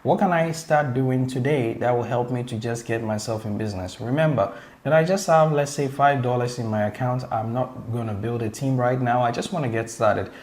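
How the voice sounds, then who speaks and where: A man's voice: medium pitch, normal speed, few pauses, darkish tone. Eager, chatty man hosting a tutorial. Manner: eager, chatty, addresses the audience directly. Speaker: host. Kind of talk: casual tutorial talk.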